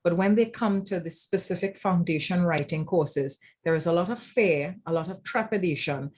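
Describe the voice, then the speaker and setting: A woman's voice: lowish voice, moderate pace, a little mellow. A woman with a Trinidadian accent speaking as a lecturer at an informal roundtable, explaining reflectively and often checking in with "right?". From Trinidad and Tobago. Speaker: academic writing lecturer, panel speaker.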